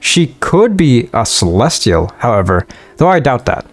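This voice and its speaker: A man reading a wise male mage's game dialogue aloud in a measured tone. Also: medium pitch, big pitch swings, faint music behind.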